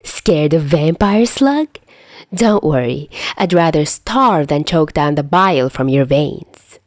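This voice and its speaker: A woman voicing a smug, theatrical teenage boy mobster in a story, with a mocking, drawn-out, condescending delivery. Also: low voice, lively intonation, no echo, studio quality. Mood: mock menacing.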